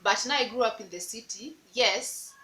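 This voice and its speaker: A woman's voice: on the high side, moderate pace, bright, forceful tone. A young Kenyan woman with a Luo accent, talking straight to camera in a casual vlog and teasing the viewer with repeated rhetorical questions. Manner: playful, repetitive rhetorical calls to the viewer. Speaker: vlogger. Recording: echoey room.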